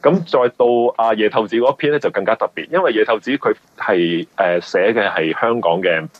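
Low-voiced, husky Hong Kong Cantonese man. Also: highs cut off. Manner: halting, repeats words as he explains. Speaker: novelist guest. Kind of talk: conversational radio interview.

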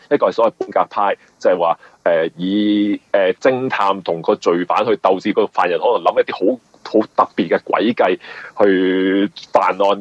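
A man with a Hong Kong accent being interviewed as a novelist, explaining in a conversational, halting way and often repeating words. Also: low voice, slightly rough, no room sound, dull audio.

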